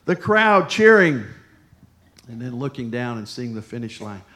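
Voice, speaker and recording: Male pastor, mid-pitched, chatty sermon delivery with anecdotes and quick asides. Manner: conversational storytelling, lively asides. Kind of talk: sermon with personal story.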